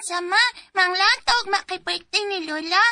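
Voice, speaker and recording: A woman playing an excitable, picky little girl in a radio drama, with a childlike, whiny delivery. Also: extremely high pitch, normal speed, dry recording, heavily acted. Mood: excited.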